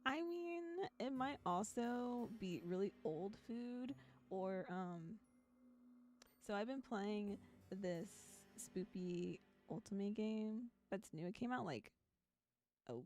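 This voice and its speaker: Soft, mellow woman streaming a game. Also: mid-range voice, animated, takes it slowly. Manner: giggly, chatty. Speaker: streamer playing a visual novel. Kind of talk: live game stream, reading aloud with casual commentary. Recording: background music behind voice. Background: music.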